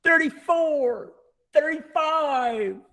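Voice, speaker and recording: A man voicing a cocky, bullying boy pitcher who taunts, snarls and roars in a children's storybook reading. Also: drawn-out words, pushed and bright, full character voice. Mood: gloating.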